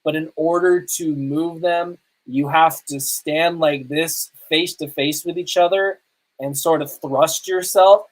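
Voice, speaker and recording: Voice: mid-range voice, steady tone, takes it slowly, rough recording. A podcast host talking casually through a movie review as an opinionated, self-confessed fan.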